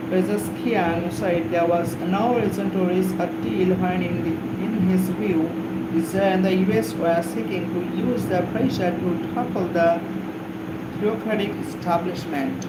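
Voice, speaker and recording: A man with a Bangladeshi accent and a fairly high-pitched voice hosts a live stream. He alternates reading news with greeting chat viewers, halting and repeating himself. The recording is very noisy, with a heavy hum behind him. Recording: very noisy, steady background hum.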